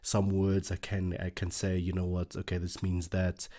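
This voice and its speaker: A man's voice: low-pitched, completely flat delivery, moderate pace, warm tone. A man, an Afrikaans speaker, hosting a casual reaction video and thinking aloud with lots of fillers.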